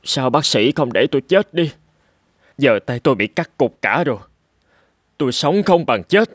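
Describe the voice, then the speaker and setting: A man's voice: mid-range voice, animated, normal speed, slightly rough, dry recording, studio quality. A man voicing a bitter, despairing wounded soldier in a cartoon. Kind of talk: cartoon dubbing.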